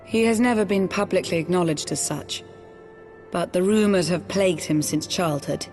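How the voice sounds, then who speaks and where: A woman playing a steadfast, loyal noblewoman in a fantasy game, speaking in measured, formal, archaic phrases. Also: fairly low pitch, darkish tone, faint music behind, close to an everyday voice. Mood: resolute, grave. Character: steadfast, loyal foreign-born noblewoman in knightly politics.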